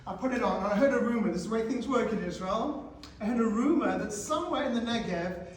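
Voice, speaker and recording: A man in his forties giving a talk to teenagers in a school hall, animated and questioning the audience. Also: high voice, fast, slight background noise. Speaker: guest speaker. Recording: echoey hall, speaker far from mic.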